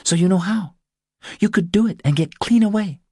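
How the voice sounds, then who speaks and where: A man voicing a small, cowed, desperate woman in an audiobook, pleading in an urgent, breathy way. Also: high-pitched, moderate pace, mellow, no echo, clean studio sound, voice pushed up. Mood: urgent, insistent.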